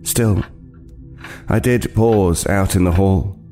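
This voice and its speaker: Low-voiced, haunted homeless man. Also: dark tone. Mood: brooding, uneasy. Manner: halting, hesitant, brooding. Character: haunted, uneasy homeless man telling his tale. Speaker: narrator and lead actor. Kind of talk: dramatic first-person horror narration. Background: music.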